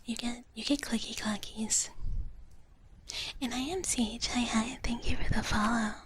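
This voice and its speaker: A woman whispering softly and breathily in a cutesy ASMR roleplay as a cozy dragon big sister, chatting with viewers close to the mic. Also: on the high side, fairly even intonation, normal speed, a little hiss or hum. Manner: cutesy, speaks of herself in the third person. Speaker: ASMR livestream host.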